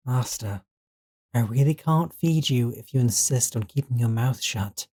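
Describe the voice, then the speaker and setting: Possessive male servant, played by a man for audio roleplay: mid-pitched, dark relaxed tone, coaxing and controlling beneath polite deference. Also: husky. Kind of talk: scripted roleplay audio drama.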